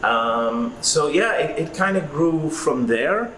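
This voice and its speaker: A man's voice: on the high side, lively intonation, slow, fairly bright tone, a little hiss or hum, low-quality recording. A man in his forties from Iraq, a record-collector guest who talks through his story in a rambling, hesitant way with lots of fillers. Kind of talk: casual interview answers.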